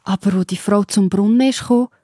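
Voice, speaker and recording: A woman's voice: medium pitch, fast, noisy background. A woman with a Swiss German accent narrating a scripted story for children, explaining simply and addressing the listener directly.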